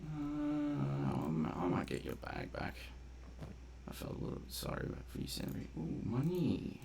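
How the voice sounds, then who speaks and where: Guy doing chill gameplay commentary, slow gravelly voice, playful muttering, noisy background w clicking. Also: mid-range voice, huge pitch swings, darkish tone. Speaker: game streamer and commentator.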